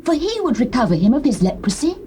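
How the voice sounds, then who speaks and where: An English actress playing a young captive housemaid, speaking in an earnest, hopeful way. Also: female voice, sits high, very animated, fast, warm tone, slight background noise.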